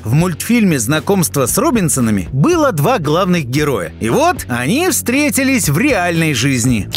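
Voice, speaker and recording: A man's voice: on the high side, huge pitch swings, normal speed, faint music behind. A man reading scripted narration for an entertainment video, chatty and teasing, addressing the listener directly.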